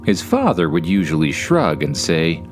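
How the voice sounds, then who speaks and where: A man's voice: low voice, wildly swooping pitch, moderate pace, soft background music. A man with a Canadian accent hosts and narrates a children's bedtime story in an informal, conversational way, with wry, dry playfulness.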